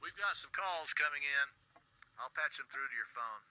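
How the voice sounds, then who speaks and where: An actor playing a sarcastic, bossy, jokey radio station boss in a video game, with a folksy, exaggerated way of talking. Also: male voice, a little high, animated, moderate pace, pushed and bright, rough recording. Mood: dry sarcasm.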